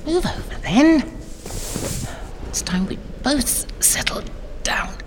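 A woman playing a gentle, devout medieval anchoress in an audio drama, hushed and contemplative, at times near a whisper. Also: on the high side, big pitch swings, slow. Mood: fondly scolding. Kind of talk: audio drama acting, reflective monologue. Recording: long reverb, faint background noise.

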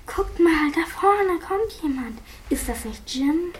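High-pitched resourceful girl detective played by a female. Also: lively intonation, takes it slowly, full character voice. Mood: excited. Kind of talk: amateur German radio play acting. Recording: amateur recording with faint noise.

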